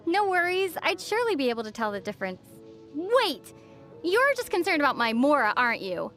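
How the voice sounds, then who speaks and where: A woman playing a young female adventurer in a video game, gentle and playfully wry in manner. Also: high voice, very animated, fairly quick. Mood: teasing. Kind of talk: game voice acting. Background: faint background music.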